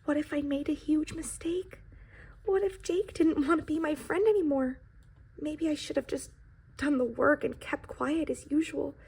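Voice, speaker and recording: A woman's voice: high voice, fairly even intonation, normal speed, a little mellow. A woman voicing a humble, anxious and earnest young pie who tells its own story in a children's storybook, with dramatic emphasis. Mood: nervous. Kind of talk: expressive storybook reading.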